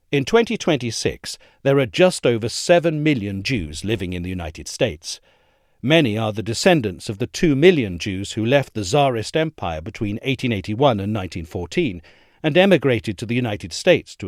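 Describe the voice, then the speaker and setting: A man reading an essay aloud as a narrator, in a measured, articulate and formal delivery. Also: medium pitch, no room sound, studio quality.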